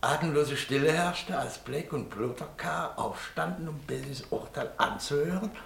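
An actor playing a talkative, easily exasperated private detective in a German radio play, with an emphatic storytelling manner. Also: male voice, on the high side, moderate pace, creaky, a bit bright, reverberant, a little hiss or hum. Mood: indignant, dramatic.

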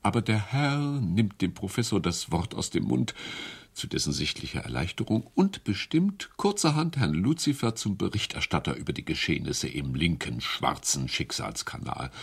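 A man narrating a radio play in a wry, measured storytelling manner. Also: low voice, huge pitch swings, vocal fry, slightly rough.

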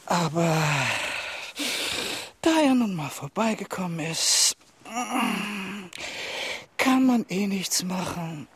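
A man's voice: high voice, animated, slow, pauses often, husky, no echo, heavily acted. An actor playing a resigned, mildly puzzled man with a nonchalant manner in a radio play. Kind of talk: German radio play acting.

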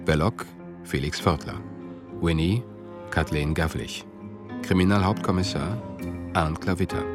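A man reading formal radio credits in a neutral, deliberate manner. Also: low voice. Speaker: announcer.

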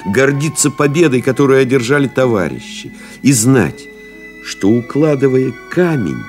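An actor playing a reflective pilot-writer, narrating memories in a measured, solemn, literary radio-play style. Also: male voice, mid-range voice, lively intonation, a little mellow, faint music behind, lightly acted. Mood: impassioned. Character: reflective male pilot-writer recalling friends and desert flights.

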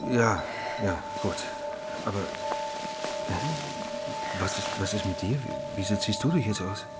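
A man's voice: fairly low pitch, a little slow, close to an everyday voice. A man playing a flustered, hesitant husband who stammers and mumbles in an intimate radio drama. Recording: loud music behind the voice. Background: loud music.

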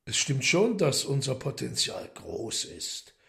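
An actor playing a commanding, coolly mocking devil in a German radio play, with measured, formal, ironic speech. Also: male voice, medium pitch, very animated, gravelly, pushed and bright, exaggerated voice.

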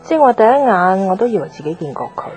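An actress playing a blunt, sarcastic young office worker in a radio drama, dry and offhand. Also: female voice, mid-range voice, very animated, fast, darkish tone, lightly acted, rough recording. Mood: brisk, sarcastic. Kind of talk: Cantonese radio drama dialogue. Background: background music.

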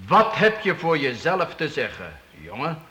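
An actor playing an imperious but fair-minded medieval count in a Dutch radio play, speaking in a stately, commanding, formal manner. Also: male voice, on the high side, wildly swooping pitch, unhurried, pushed and bright, long reverb tail, exaggerated voice. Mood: stern, probing. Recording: faintly noisy old radio play.

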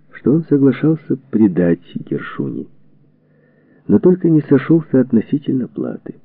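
A man reading a historical book as a scripted narration, in measured, deliberate phrases. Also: mid-range voice, no room sound. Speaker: audiobook narrator. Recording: narrow phone-like band, radio-like sound.

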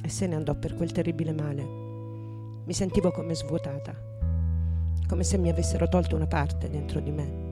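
A woman acting as a middle-aged rock singer in mourning, her voice on the low side. She confides her losses in a reflective, deliberate radio drama monologue, with clear music playing behind her. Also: fairly even intonation, lightly acted. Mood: wistful, sorrowful. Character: grieving middle-aged female rock singer recalling lost loves.

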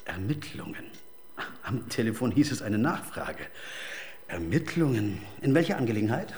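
Ein Schauspieler spielt in einem Krimihörspiel den förmlichen, ausweichenden Manager eines Popstars, gestelzt und steif höflich. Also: male voice, lowish voice, sing-song, normal speed, darkish tone. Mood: pompous, formal. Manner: pompous, stiffly polite, evasive. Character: guarded, formal male manager of a pop star. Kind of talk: crime radio drama acting.

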